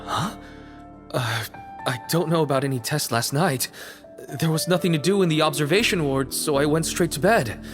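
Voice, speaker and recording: A man's voice: fairly high pitch, moderate pace, over music, lightly acted. Man playing a hesitant, uneasy inmate. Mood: confused. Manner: hesitant, unsure. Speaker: prison inmate. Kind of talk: video game dialogue.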